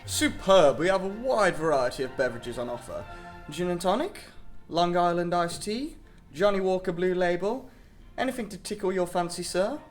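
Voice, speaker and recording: A man's voice: high voice, lively intonation, normal speed, soft background music. A man acting an obliging, formal butler in a radio drama, speaking in a pompous, servile, florid manner. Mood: eager, servile.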